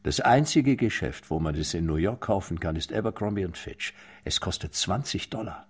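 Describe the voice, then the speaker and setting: An actor playing a blunt, sarcastic police detective questioning suspects in a radio crime drama. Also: male voice, low voice, expressive, moderate pace, breathy edge. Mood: pressing. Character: tough, sardonic male police detective.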